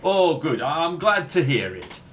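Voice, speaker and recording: A man's voice: on the high side, sing-song, a little slow, a bit bright. A man in a comedy radio play, playing a genteel, slightly pompous older-generation character who talks in a polite, hesitant way. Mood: polite, flustered. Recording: faint background noise.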